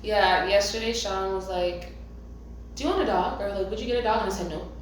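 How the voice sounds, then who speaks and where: Young woman podcast cohost, casual chatty, lots of mm-hmms, super echoey room. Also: medium pitch. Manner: quick, casual, backchannels a lot. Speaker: podcast co-host. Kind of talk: casual chat. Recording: very echoey room, faint noise.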